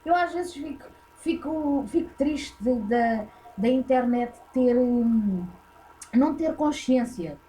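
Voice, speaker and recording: Portuguese lady ranting in a reaction vid, kinda high voice, warm mellow tone, lots of fillers. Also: expressive, takes it slowly, poor audio. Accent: European Portuguese. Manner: blunt, opinionated, rambling with many fillers. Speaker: reaction video host. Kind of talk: casual reaction commentary.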